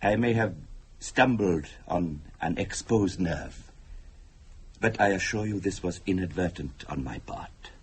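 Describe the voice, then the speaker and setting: An actor playing a formal, courteous mystic who speaks deliberately and eerily in a dramatic radio thriller. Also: male voice, low voice, breathy edge, exaggerated voice. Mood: measured, courteous. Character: calm, eerie male mystic telling of curses and spirits.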